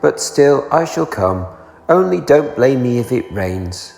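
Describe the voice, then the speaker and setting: Man doing a slow, gloomy donkey. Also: mid-range voice, very animated, gravelly, darkish tone, soft background music. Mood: doubtful, morose. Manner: slow, morose, resigned. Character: gloomy, self-pitying, slow old male donkey. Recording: roomy echo, long reverb.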